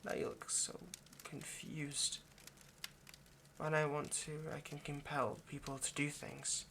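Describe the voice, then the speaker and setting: A man playing an anxious, lovesick centuries-old vampire kidnapper in an intimate roleplay monologue, speaking in a halting, coaxing, rambling way straight to the listener. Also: fairly high pitch, level tone, creaky, pushed and bright, slight background noise, exaggerated voice. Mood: soft, controlled.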